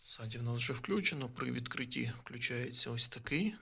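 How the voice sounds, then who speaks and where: A man's voice: mid-range voice, expressive, brisk, vocal fry, bright, forceful tone, slightly rough. A man giving a casual, unscripted product demonstration, explaining and thinking aloud as he goes. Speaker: gadget reviewer. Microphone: phone filming the demonstration.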